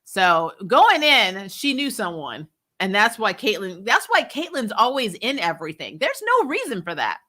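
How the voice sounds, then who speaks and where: A woman hosting a casual TV review chat, talking in an animated, sarcastic, exasperated way with blunt opinions and casual swearing. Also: medium pitch, normal speed. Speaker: host of a TV show review channel. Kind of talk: casual spoiler recap chat, reading and answering viewer comments.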